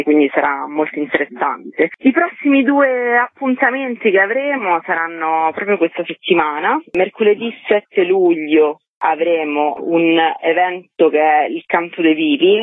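A woman's voice: sits low, dry recording. A woman presenting events as an organizer in a radio interview. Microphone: telephone call. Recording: narrow telephone line, dry.

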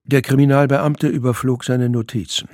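A man's voice: mid-range voice, steady tone, normal speed, a little mellow, no echo, studio quality. A man narrating a scripted radio drama.

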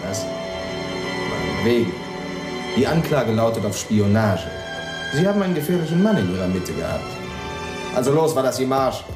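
A man acts a commanding police officer in a radio drama. He is curt and officious, with a mid-pitched voice. The room echoes around him and music plays clearly behind. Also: sing-song, moderate pace, long pauses, natural voice. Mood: brusque. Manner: brusque, commanding. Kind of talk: German radio drama acting.

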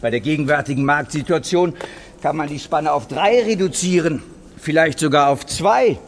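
A man playing a self-assured, salesmanlike stockbroker who explains things smoothly in a radio drama. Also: a little high, moderate pace, a little hiss or hum, lightly acted. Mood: confident. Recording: faint music bed behind the voice.

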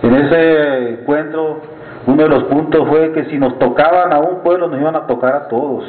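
A man giving an earnest, measured address to a meeting audience, with halting restarts. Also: fairly high pitch. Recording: echoey room over a tinny lo-fi line.

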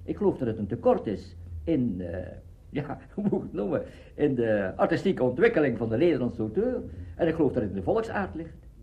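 A man's voice: mid-range voice, very animated, fairly quick. A man speaking off the cuff in an interview, searching for his words and seeking agreement as he goes. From Netherlands. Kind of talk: spontaneous interview talk. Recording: dull lo-fi archive interview recording.

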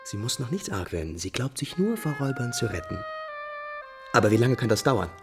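Lovesick, wavering prince, mid-range voice, highly animated and anxious, theatrical vintage radio drama. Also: male voice, fairly quick, over music. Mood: anxious, agitated. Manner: agitated, theatrical, emotional. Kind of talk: 1950s German radio drama acting.

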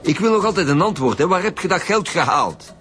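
An actor playing a blunt, impatient police detective in a Flemish radio drama, pressing hard as an interrogator. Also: male voice, high voice, lively intonation, brisk, fairly bright tone, faint music behind. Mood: stern. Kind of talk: naturalistic radio drama acting.

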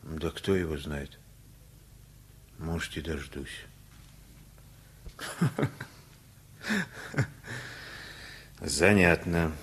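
An actor playing an easygoing older working man with a husky voice and folksy, unhurried speech in a radio play. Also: rumbling low, steady tone, a bit bright, full character voice. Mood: musing.

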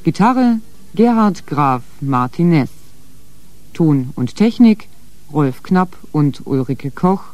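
A man's voice: a little high, animated, slow pace, a little mellow, no echo, soft background music. A man formally reading out scripted closing credits as a radio announcer.

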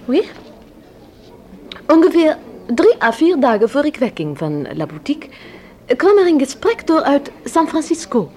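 A woman's voice: high voice, very animated, unhurried, pauses often, darkish tone. An actress playing a polite young Belgian woman with a French accent in a radio drama, speaking courteously and a little hesitantly. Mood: recounting. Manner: hesitant, courteous, peppers speech with French words. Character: polite young Belgian shop assistant turned stewardess.

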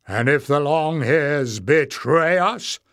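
A man voicing an angry, suspicious and stubborn male leader of an underground folk in a storybook, sneering and forceful in manner. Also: fairly high pitch, slow pace, clear, resonant tone, dry recording, studio quality, voice pushed up. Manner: sneering, shouting in anger.

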